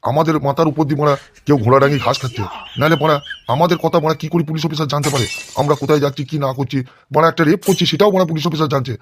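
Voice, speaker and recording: A man's voice: on the high side, background noise, close to an everyday voice. A man voicing a crude, bullying, lecherous gangster politician in a comic cartoon, blustering and scolding. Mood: suspicious. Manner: blustering, scolding, constantly swearing.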